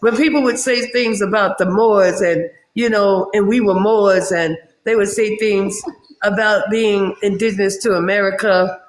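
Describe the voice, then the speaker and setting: A man's voice: high voice, fairly even intonation, measured pace, a little hiss or hum. A man joining a casual live-stream panel as a guest, talking in an earnest, searching way with many restarts. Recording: echoey room sound.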